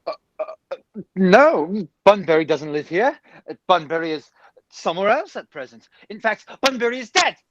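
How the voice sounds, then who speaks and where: A man playing an evasive, flustered young gentleman in an amateur radio play, hesitant and bluffing, on a dull, hissy recording. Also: high-pitched, big pitch swings, normal speed.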